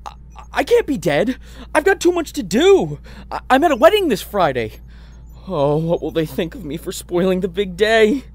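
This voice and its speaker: A man's voice: high voice, sing-song, normal speed. An actor playing a flustered, hesitant, polite everyman customer in a comedy audio drama. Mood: panicked. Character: flustered, bewildered everyman insurance customer named Ed.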